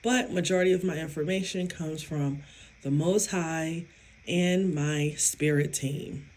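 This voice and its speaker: Woman, hoarse raspy voice, unhurried, emphatic, self-assured, casual vlog talk. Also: sits low, fairly even intonation, darkish tone. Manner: slow, emphatic, self-affirming, laughs often. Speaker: psychic medium vlogger.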